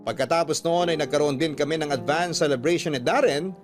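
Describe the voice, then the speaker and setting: A man voices an earnest letter writer telling a life story in a radio drama. His voice is on the high side, in scripted dramatic narration with music clearly behind it. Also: fairly quick, natural voice. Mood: reflective.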